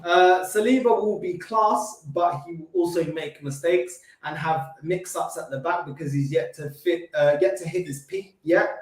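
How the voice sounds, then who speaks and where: A man's voice: fairly high pitch, moderate pace, few pauses. A man with a London accent, co-hosting a casual football fan live chat, bantering in slang and reading out comments. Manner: banter-filled, laughs often, London slang. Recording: roomy echo.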